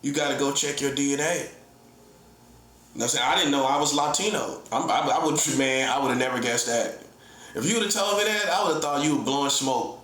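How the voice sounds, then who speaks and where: A man's voice: medium pitch, a little fast, a bit bright, reverberant. A man giving a casual comedic monologue as an online preacher, teasing his listeners, laughing often and acting out mock conversations. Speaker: self-styled prophet and online preacher.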